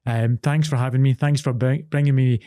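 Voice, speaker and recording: A man's voice: medium pitch, steady tone, fairly quick, mellow, no echo, studio quality. A man, an actor and presenter, interviewing a guest on a podcast, encouraging her and often repeating himself as he goes. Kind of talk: podcast interview, scripted intro then casual chat.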